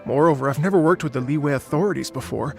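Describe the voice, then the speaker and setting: An actor playing an earnest, polite male concert conductor in a game, with expressive, musical turns of phrase that turn hesitant when he is pressed. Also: a little high, quick pace, vocal fry. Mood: apologetic. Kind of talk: game dialogue. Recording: game audio with music underneath.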